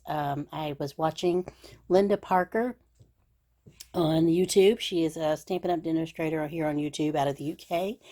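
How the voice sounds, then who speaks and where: A woman's voice: sits low, darkish tone. A middle-aged woman hosting a crafting video, chatting and gushing over her supplies with plenty of fillers, her voice a little scratchy from a cold. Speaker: craft channel host. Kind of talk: casual crafting show-and-tell.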